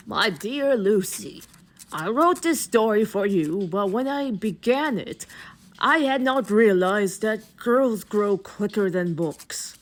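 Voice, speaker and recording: A woman narrating a book in a deliberate, expressive, dramatic storytelling style. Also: medium pitch. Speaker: narrator and host of a reading series.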